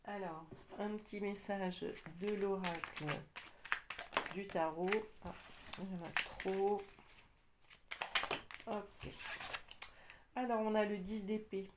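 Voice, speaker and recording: A woman with a slight cold doing a casual tarot reading, talking hesitantly with many pauses and fillers. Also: mid-range voice, fairly even intonation, very noisy. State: has a cold, slightly congested. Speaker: tarot card reader.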